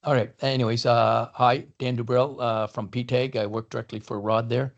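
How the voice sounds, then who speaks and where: A man with a gravelly, flat voice introduces himself haltingly, filling pauses with "uh". He is heard over a video call. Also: mid-range voice, dull audio. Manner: halting, with many "uh" fillers. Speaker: meeting participant.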